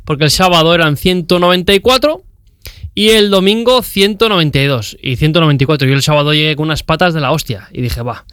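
Guy on the radio telling bike stories, chatty, kinda high voice, super dry close sound. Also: quick pace, clear, resonant tone, dry recording. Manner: chatty, animated, retells dialogue. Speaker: cycling guest. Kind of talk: radio interview, storytelling.

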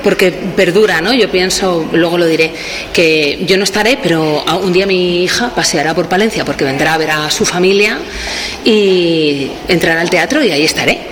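A woman's voice: lowish voice, moderate pace, crowd noise. A middle-aged woman with a Castilian Spanish accent, speaking spontaneously as a theatre director at an event. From Valladolid, Spain. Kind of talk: spontaneous spoken remarks to press. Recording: noisy on-location event recording.

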